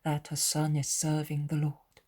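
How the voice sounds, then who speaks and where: Solemn, loving holy man in a devotional story, read by a woman: unhurried, soft-grained, gentle authority. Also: low voice, completely flat delivery, slightly rough. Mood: reassuring, solemn. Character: Jesus, a calm, solemn, loving male master.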